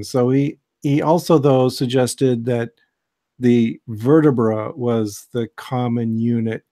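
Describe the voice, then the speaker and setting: American man lecturing casually on a call. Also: mid-range voice. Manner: relaxed, digressive, with many fillers and restarts. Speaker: host, biology lecturer. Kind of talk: informal science lecture on a video call. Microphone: video call.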